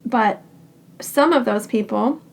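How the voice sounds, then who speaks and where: A woman giving a tarot reading in short, unhurried phrases. Her voice is raspy and hoarse because she is getting over a cold. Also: on the high side. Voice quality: raspy, scratchy throat. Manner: calm and reassuring, speaks in short unhurried phrases. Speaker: tarot card reader. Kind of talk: pick-a-card tarot reading.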